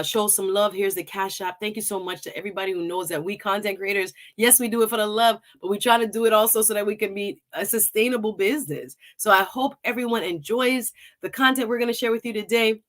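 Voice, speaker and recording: A woman's voice: medium pitch, a bit bright. Upbeat woman hosting a talk show. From Jamaica. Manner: chatty, upbeat, laughs often. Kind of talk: live-stream talk show intro.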